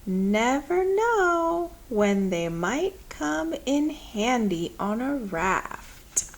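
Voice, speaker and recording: Kindly storybook grandma, played by a woman reader: folksy, understated, unhurried. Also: a little high, big pitch swings, slight background noise, heavily acted. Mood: generous, practical. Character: kindly, plain-spoken old grandmother of the river. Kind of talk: storybook dialogue read aloud.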